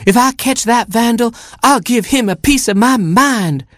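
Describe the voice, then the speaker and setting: A man voicing a fussy, quick-tempered mother hen in a storybook, clucking and scolding. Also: extremely high pitch, fairly bright tone, no room sound, clean studio sound, pitched above the performer's own voice. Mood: indignant. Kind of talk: storybook character voice.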